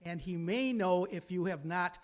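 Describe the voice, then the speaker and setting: A man's voice: high voice, normal speed. A man giving an informal, hesitant, lightly humorous welcome as host of a live radio play. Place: live before an audience.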